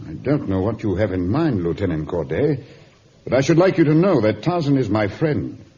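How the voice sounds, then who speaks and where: An actor playing a principled, reserved army captain, speaking formally and firmly. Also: male voice, on the low side, very animated, mellow. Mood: wary, firm. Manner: formal, measured, firm. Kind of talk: 1950s radio drama acting. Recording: dull, noisy vintage radio recording.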